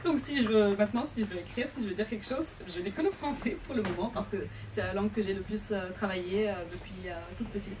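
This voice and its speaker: Woman, Arabic speaker, earnest and measured, many pauses, picked up from across a noisy bookshop. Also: mid-range voice. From Lebanon. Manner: earnest, measured, pausing often. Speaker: author at a book event. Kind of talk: reflective personal talk. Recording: distant mic with background noise.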